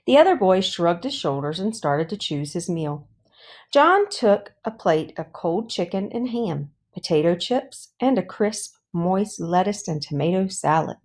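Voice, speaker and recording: Woman, children's audiobook reader, lowish pitch, very unhurried pace, expressive and deliberate storytelling. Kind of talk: expressive read-aloud storytelling of a children's book.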